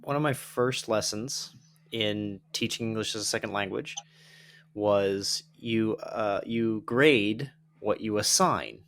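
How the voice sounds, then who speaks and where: A man's voice: fairly low pitch, slow, fairly bright tone. A man hosting a podcast, making his points in casual conversation with a reflective "right?". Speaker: podcast host. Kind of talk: casual interview talk.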